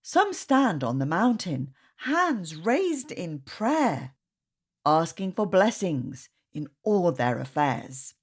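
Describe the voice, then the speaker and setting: A woman narrates a rhyming storybook for young children. Her voice sits on the low side and has a husky grain. She takes her time over each line, in a measured storytelling style. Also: big pitch swings. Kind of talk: read-aloud rhyming picture-book narration.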